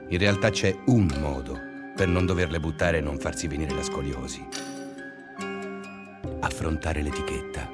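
Low-voiced brooding schoolteacher over music. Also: male voice, moderate pace, long pauses. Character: brooding schoolteacher narrating his obsessive irritation. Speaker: narrator. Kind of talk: dreamlike radio drama monologue.

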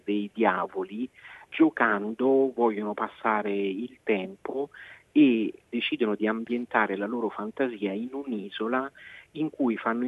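A man's voice: fairly low pitch, dry recording. A man giving a reflective radio interview, stretching key words for emphasis. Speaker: theatre director, interview guest. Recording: muffled phone-like line, dry.

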